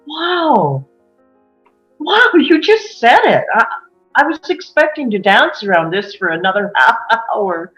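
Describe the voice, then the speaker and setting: A woman playing a guarded, wistful small-town woman who confides old secrets haltingly in a drama table read. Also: on the high side, sing-song, fairly bright tone. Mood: taken aback. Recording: muffled, music underneath.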